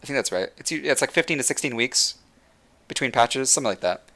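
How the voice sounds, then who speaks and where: Young male streamer, bright tense voice, fired-up and repetitive delivery, voice going hoarse. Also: medium pitch, expressive, quick pace. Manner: passionate, repeats words while thinking. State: losing his voice. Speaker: livestream host. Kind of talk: casual gaming rant to chat.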